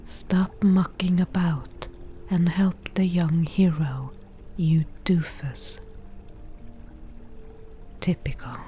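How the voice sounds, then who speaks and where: A woman playing a wry, teasing forest witch in a softly whispered ASMR roleplay, with dry, unhurried delivery. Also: lowish voice, steady tone, soft background music. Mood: curious, mocking.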